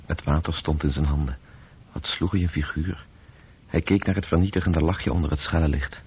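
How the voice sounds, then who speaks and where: Serious radio play narrator, low and really gravelly-husky, old dull recording. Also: male voice, fairly even intonation, fairly quick, heavily acted. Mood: measured, intent. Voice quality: very husky, deep. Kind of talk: scripted literary narration.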